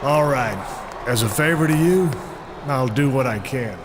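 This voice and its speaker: A man's voice: mid-range voice, lively intonation, a little slow, a crowd in the background, lightly acted. Man playing reluctant wrestler guy in a game scene, few words, bit of background noise. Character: reluctant, laconic male wrestler. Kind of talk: video game cutscene acting.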